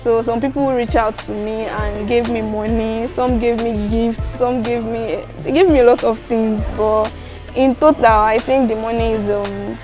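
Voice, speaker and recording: A young woman from Nigeria with a Yoruba accent, answering interview questions softly and laughing often. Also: on the high side, fairly even intonation, normal speed, music in the background. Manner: soft-spoken, laughs often, mixes in Yoruba. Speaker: guest vlogger.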